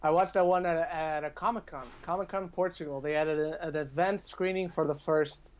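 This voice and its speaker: A man's voice: a little high, steady tone, normal speed, highs cut off. A man in his twenties chatting casually about films, hesitating and repeating words as he thinks. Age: 20s. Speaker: podcast participant. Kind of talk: casual film chat.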